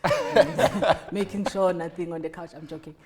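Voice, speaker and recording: A woman with a South African accent hosting a casual interview, chatty and teasing, with frequent laughter. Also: medium pitch. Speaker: talk show host.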